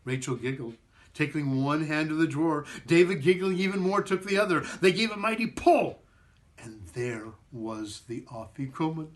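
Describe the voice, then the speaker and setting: A man reading a children's story aloud in an expressive, theatrical way, sometimes repeating a word as he goes. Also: on the high side, normal speed. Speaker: performing arts director reading a story.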